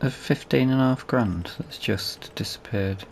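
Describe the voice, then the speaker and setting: A man's voice: mid-range voice, measured pace, creaky. A man with a Northern English accent giving casual let's play commentary, muttering and grumbling to himself as he plays. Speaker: gaming video host. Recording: loud vehicle engine noise behind. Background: farming game vehicle and ambience sounds.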